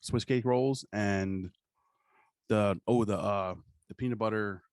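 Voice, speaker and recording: A man with an American accent and a slightly low voice talks as a podcast co-host. He rambles casually in slang and curses freely. The recording is close and dry, with hardly any room sound. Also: fairly even intonation, slow pace, darkish tone, clear, resonant tone, dry recording. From New Jersey, USA. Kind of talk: casual comedic chat.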